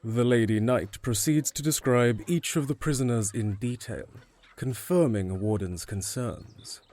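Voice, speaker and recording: A man's voice: mid-range voice, measured pace, dark tone, natural voice. A man reading scripted narration for an audio drama.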